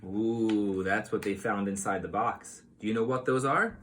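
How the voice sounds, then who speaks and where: A man reading a picture book aloud to young children like a teacher, in an expressive, explanatory way. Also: fairly low pitch, measured pace, a little hiss or hum.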